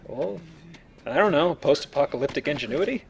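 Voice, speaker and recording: A man's voice: a little high, lively intonation, fairly quick, vocal fry. A man hosting a casual Let's Play, explaining the game and joking as he goes. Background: video game sounds.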